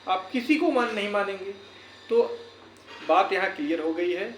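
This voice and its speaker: Echoey room with faint noise, high-voiced man, earnest, exhorting viewers, repetitive emphasis. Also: expressive, moderate pace. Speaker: YouTuber and educator. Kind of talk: motivational update talk to viewers.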